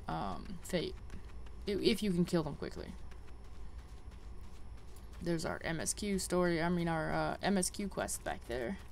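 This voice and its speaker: A woman's voice: on the low side, vocal fry, slight background noise. A woman giving casual, chatty game walkthrough commentary, thinking aloud as she plays. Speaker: gaming video host.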